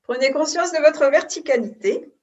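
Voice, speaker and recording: A woman yoga nidra instructor giving calm, unhurried guided relaxation in short phrases with pauses between them. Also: high-pitched. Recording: distant mic, dull muffled sound.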